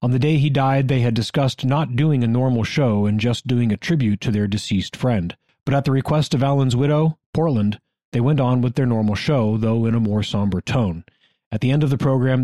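Male podcast co-host, American, mid-pitched, mellow and close-sounding, delivering scripted historical narration. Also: fairly quick, dry recording.

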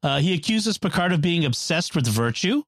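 A man's voice: on the high side, very animated, moderate pace, a bit bright, no echo, studio quality. A man co-hosting a podcast, chatting casually and laughing often. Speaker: podcast co-host. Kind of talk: casual discussion.

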